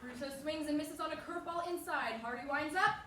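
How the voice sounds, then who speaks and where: A woman plays a baseball announcer calling a game on stage. Her voice is high, in commentary style. It echoes heavily, with the mic far away. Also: brisk, fairly bright tone. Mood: brisk commentary. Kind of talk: sports commentary in a staged reading. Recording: very echoey hall, distant mic, noisy.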